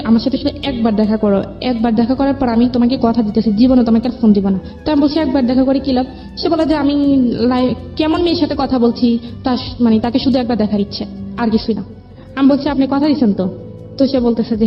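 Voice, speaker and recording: Bangladeshi female guest, highish voice, recounting personal story; dull lo-fi audio over music. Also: fast. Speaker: guest telling own life story. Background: background music.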